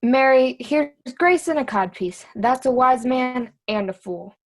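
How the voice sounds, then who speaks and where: A woman's voice: high voice, lively intonation, a little slow, heavily acted. A woman playing a wry, riddling court fool in a theatrical reading of Shakespeare. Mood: mocking, riddling. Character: court fool, witty, riddling companion to old king.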